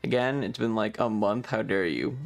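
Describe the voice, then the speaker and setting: A man's voice: lowish voice, moderate pace, vocal fry. A man hosting a casual livestream, chatting with viewers in a playful, rambling, slightly distracted way. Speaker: live streamer and host.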